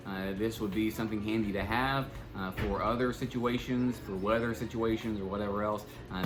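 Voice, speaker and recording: A man giving a casual, unscripted product demonstration as a video host, halting and thinking aloud as he goes. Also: on the low side. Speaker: product reviewer and channel host.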